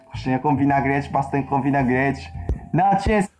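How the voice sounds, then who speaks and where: Japanese man, mid-pitched, slow halting learner's delivery, soft music underneath. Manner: halting learner's speech, searching for words. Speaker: interviewee in a played video. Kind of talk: casual personal account.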